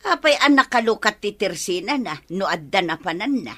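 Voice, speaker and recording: An actress with an Ilocano accent playing a sharp-tongued, nagging middle-aged village woman in a radio comedy drama. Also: mid-range voice, big pitch swings, moderate pace. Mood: prying.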